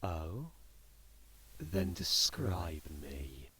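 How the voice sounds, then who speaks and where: The aloof stranger across the desk in a horror podcast played by a man, deep-voiced and slow, smarmy, deadpan and quietly menacing. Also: breathy edge, heavily acted. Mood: smug amusement. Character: aloof, smug, menacing man toying with his victim. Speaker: voice actor in a fan audio drama. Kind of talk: audio drama acting.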